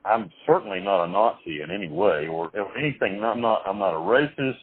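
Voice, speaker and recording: Man, phone interview guest, rambling, halting restarts, blunt, tinny phone-line sound. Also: on the low side, expressive. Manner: blunt, occasionally crude. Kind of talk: phone interview, recounting his life.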